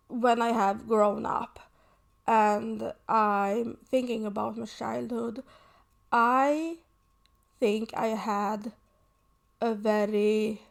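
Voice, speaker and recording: Woman hosting a solo podcast; slightly high-pitched, slow and thoughtful, pausing to find her words. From Sweden. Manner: earnest, reflective, pausing often. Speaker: podcast host. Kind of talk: personal reflective monologue.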